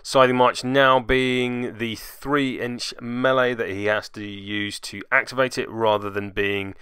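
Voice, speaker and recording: A man's voice: medium pitch, steady tone, a little slow, pushed and bright, clean tone, no room sound, clean studio sound. A man giving wry voice-over play-by-play commentary on a tabletop miniatures game, with self-deprecating asides.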